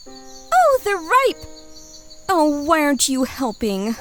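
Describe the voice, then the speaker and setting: A woman's voice: sits high, huge pitch swings, slow pace, faint music behind, exaggerated voice. A woman playing a brash, carefree young female thief in a fantasy audio drama, pert and dismissive. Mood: gleeful, impatient.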